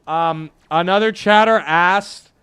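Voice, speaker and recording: A man's voice: sits high, slow pace, a bit bright. A man streaming live, joking around and reading out viewers' questions in a casual chat. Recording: noisy stream mic with clicks.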